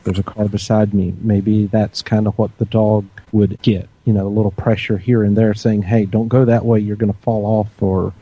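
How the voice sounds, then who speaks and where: Man, low husky voice, thoughtful explaining, casual podcast, dry sound. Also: level tone, moderate pace, few pauses, dark tone, rough recording. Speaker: podcast panelist. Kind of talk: casual panel chat.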